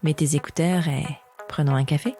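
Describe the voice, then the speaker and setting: Low-pitched woman podcast host. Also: fairly even intonation, brisk, warm tone, soft background music. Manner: informal, addresses listener and guest familiarly. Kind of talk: podcast introduction and conversational interview.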